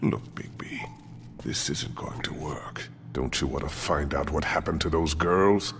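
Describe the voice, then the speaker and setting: An actor playing a menacing, impatient, hot-tempered bully in a video game, scornful and domineering, with a gravelly voice. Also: male voice, extremely low pitch, unhurried, fairly bright tone, big-room sound, soft background music, full character voice.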